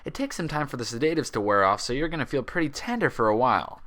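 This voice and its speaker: A man acts out a kindly stallion doctor in a fantasy story. He chats in an easygoing, reassuring way, attentive to his patient. The voice sits higher than the reader's own. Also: lively intonation, fast talker, fairly bright tone, close to an everyday voice. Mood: soothing. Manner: easygoing, reassuring bedside chatter.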